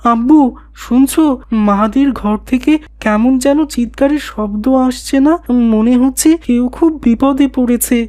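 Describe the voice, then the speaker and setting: A woman's voice: on the high side, unhurried, a little mellow, no echo, natural voice. A woman playing an earnest, anxious young boy in a cartoon, with a questioning manner. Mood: alarmed. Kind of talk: cartoon voice acting.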